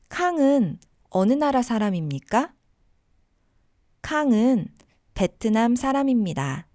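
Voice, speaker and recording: Slow-paced woman teaching a language lesson. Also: fairly high pitch, warm tone, no room sound, clean studio sound. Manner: deliberate, simple phrasing for learners.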